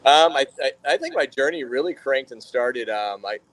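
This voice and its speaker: A man in his thirties from Florida talks about his path into brewing in a relaxed podcast chat. He wanders through his story with plenty of fillers and false starts, sounding easygoing and unscripted. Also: mid-range voice, normal speed, dry recording, rough recording. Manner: rambling storyteller with frequent fillers and restarts. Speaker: brewery general manager and brewer. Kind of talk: casual podcast interview.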